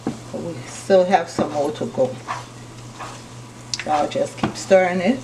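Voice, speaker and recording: A woman from Liberia with a Liberian accent, calmly explaining each step of a recipe while cooking in her home kitchen. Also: on the low side, a little slow, gravelly. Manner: calm, step-by-step instructions while stirring. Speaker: cooking channel host. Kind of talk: casual cooking demonstration. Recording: distant mic with frying noise. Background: sizzling pot and stirring.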